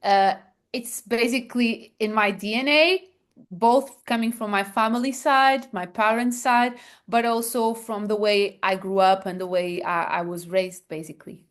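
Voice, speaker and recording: A woman from Portugal with a Portuguese accent, answering interview questions as a founder with many pauses, fillers and restarts. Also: mid-range voice, fairly even intonation. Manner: hesitant, many fillers and restarts, laughs softly. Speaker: founder guest.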